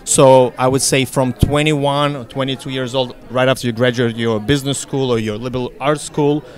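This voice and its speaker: A man's voice: mid-range voice, steady tone, normal speed. A young man from Russia with a Russian accent, chatting as a guest in an interview and filling his speech with "you know". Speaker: interviewee, real estate broker. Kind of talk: casual interview.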